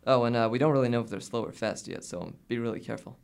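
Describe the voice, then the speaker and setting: A man's voice: medium pitch, fast talker, vocal fry, a little mellow, natural voice. A man acting in an audio drama as a pedantic, matter-of-fact teenage boy who plans zombie survival. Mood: brisk, instructive. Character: know-it-all teenage boy, self-appointed zombie survival strategist.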